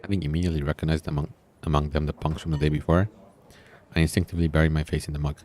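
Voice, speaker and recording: A man's voice: extremely low pitch, level tone, very fast, a little mellow. A man reading a visual novel aloud, breaking in with casual, informal comments of his own. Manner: informal, reacting to the story with asides. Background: background music.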